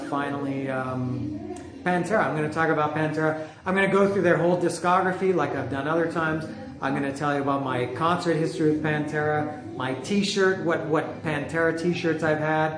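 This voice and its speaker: A middle-aged man hosting a music podcast from home, talking loudly in a casual, rambling way with many restarts. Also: fairly high pitch, normal speed. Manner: chatty, rambling, with frequent restarts; loud voice. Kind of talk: casual monologue. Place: home, street music outside. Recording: echoey room with music outside. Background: music from outside.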